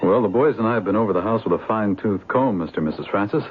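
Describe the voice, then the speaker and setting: A man's voice: lowish voice, lively intonation, very fast, music in the background, lightly acted. A man acting a methodical, skeptical police lieutenant in a 1970s radio drama. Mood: matter-of-fact. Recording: muffled, faintly noisy 1970s radio broadcast.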